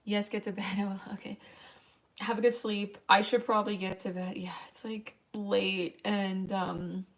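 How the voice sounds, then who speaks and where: A young woman with a naturally raspy voice, worn thin from staying up late. She rambles through tangents with lots of "like" and "um," chatting easily to her viewers at a moderate pitch. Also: flat delivery, normal speed. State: tired, voice wearing thin late at night. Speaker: livestreamer. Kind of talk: late-night casual stream chat, reading chat aloud.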